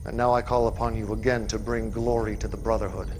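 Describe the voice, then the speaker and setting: Guy acting as a messianic cult warlord in a game cutscene, commanding deliberate speechifying, bit of noise behind it. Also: on the low side, level tone, lightly acted. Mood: solemn, paternal. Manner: grandiose, messianic, deliberate oratory. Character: fervent, commanding middle-aged male cult leader and warlord. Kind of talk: dramatic monologue in a game cutscene.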